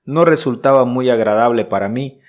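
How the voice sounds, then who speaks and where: Guy playing earnest uneasy traveller reading his diary, medium pitch, measured storytelling, radio play. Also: lightly acted. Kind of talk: radio drama diary narration.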